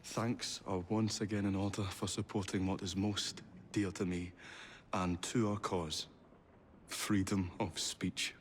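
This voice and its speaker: A man's voice: sits low, flat delivery, measured pace, a little hiss or hum. A male actor playing a kindly, absent-minded inventor in a video game, speaking in hesitant, formal, wordy phrases. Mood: grateful, earnest. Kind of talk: video game cutscene acting.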